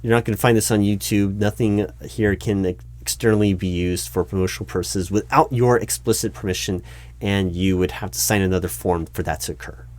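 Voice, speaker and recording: A man's voice: fairly low pitch, steady tone, a little fast, darkish tone, natural voice. A man playing a flustered, officious recruiting manager in a one-sided job interview roleplay, stammering and repeating himself. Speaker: roleplay performer.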